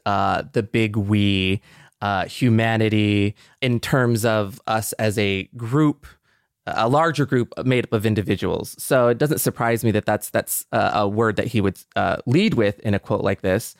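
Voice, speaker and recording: An American man co-hosting a podcast discussion, speaking in a measured, reflective, slightly halting manner. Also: lowish voice, no room sound, clean studio sound. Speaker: podcast co-host.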